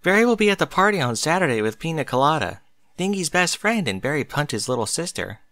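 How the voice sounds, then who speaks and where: A man's voice: on the high side, sing-song, brisk, a bit bright, a little hiss or hum, voice pushed up. Man voicing a shy, lilting pegasus mare. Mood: friendly, helpful. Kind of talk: fan fiction storybook character voice.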